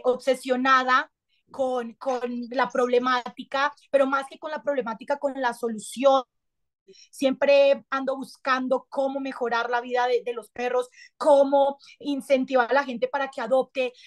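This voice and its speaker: A woman, an actress and TV host chatting casually in an interview on a video call, gesturing a lot as she talks. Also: sits high. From Colombia. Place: small indoor room. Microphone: webcam on a video call.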